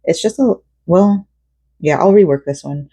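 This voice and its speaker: A woman's voice: on the low side, moderate pace, mellow, slightly rough, no echo, studio quality. A tired young woman, born in South Korea and raised in Florida, chatting in a rambling way with many fillers while she crochets at home. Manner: rambling and chatty, with frequent fillers and self-corrections. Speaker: vlogger. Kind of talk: casual personal vlog chat while crocheting.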